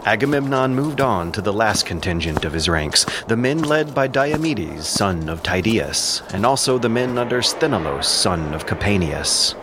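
Low-pitched, animated male epic narrator. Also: moderate pace, few pauses, background noise. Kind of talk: scripted dramatic narration of an epic.

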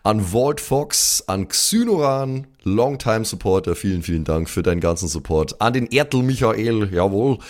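Male podcast host, lowish voice, casual thank-you roll call, jokey and slangy. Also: lively intonation, moderate pace, few pauses. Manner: jokey, slangy asides on names.

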